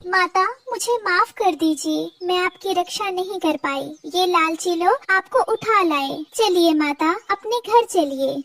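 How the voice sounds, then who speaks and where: A woman's voice: extremely high pitch, slow, few pauses, distant mic, heavy background noise, heavily acted. A woman voicing a humble, devoted mother bird, pleading and reverent, in a cartoon story. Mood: apologetic.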